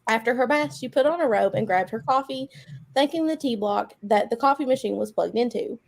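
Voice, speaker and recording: A woman's voice: fairly high pitch, brisk, creaky. A woman giving a dramatic reading of a story, mixed with casual chat among friends. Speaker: guest reader on a live show.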